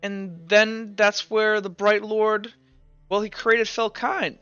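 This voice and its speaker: A man's voice: high-pitched, flat delivery, slow, fairly bright tone, clear, resonant tone, dry recording, pitched above the performer's own voice. A man voicing an earnest, devout woman guide who recounts sacred history hesitantly in a tabletop game. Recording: muffled lo-fi mic with music.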